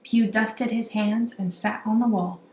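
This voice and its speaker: Woman, amateur storybook reader, animated rhyming delivery, mid pitch, echoey room. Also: unhurried. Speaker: amateur children's book reader. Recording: roomy echo, faint background noise.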